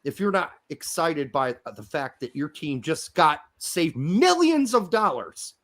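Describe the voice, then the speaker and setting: A man's voice: on the high side, very animated, unhurried. A man in his fifties with an American accent, raised in Germany, co-hosting a casual chat and ranting with heavy profanity. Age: 50s. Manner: profane ranting, loud outbursts. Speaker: podcast co-host.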